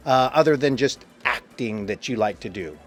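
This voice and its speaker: A man's voice: mid-range voice, lively intonation, normal speed, faint music behind. A man hosting a casual interview. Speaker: interviewer, host.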